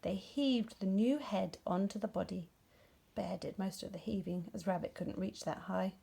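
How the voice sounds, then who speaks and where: A woman reading a scripted children's story aloud in an expressive, performed way. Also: medium pitch, normal speed, vocal fry, breathy edge. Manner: expressive, acts out dialogue. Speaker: storybook reader.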